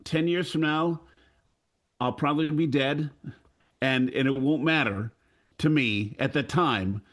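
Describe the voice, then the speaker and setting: A man of sixty-two speaking earnestly and off the cuff on a panel, haltingly, often repeating phrases. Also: on the high side. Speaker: audio drama creator on a panel. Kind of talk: earnest unscripted panel talk.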